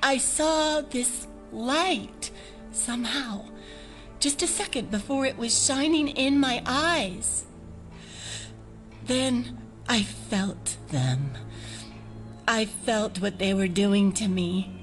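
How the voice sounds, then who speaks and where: An actress playing a fragile, haunted abductee in an audio drama, telling her account in a halting, earnest, dreamy way. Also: on the high side, lively intonation, soft background music. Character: fragile, haunted woman recounting an alien abduction.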